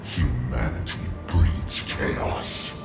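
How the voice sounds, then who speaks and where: A man playing a cold, menacing alien villain in a video game, with deliberate delivery. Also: a bass voice, very animated, gravelly, heavily acted. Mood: cold menace. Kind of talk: dramatic video game cutscene acting. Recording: echoing voice under loud music.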